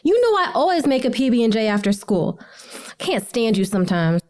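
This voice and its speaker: High-voiced bookish teenage girl. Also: brisk. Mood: annoyed. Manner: slangy, expressive, teasing. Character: bookish, sarcastic teenage schoolgirl, big sister to Eddie. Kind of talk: audio drama acting.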